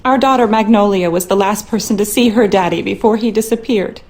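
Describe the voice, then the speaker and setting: A woman's voice: medium pitch, a little fast, natural voice. An actress playing a worried, skeptical wife and mother, speaking earnestly and dramatically in a game monologue.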